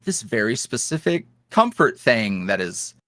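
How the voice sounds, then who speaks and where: Lively, candid young man, dry mic. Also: medium pitch, no echo. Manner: candid and self-deprecating, quick asides and laughs. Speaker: guest, ASMR roleplay creator. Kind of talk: casual interview chat.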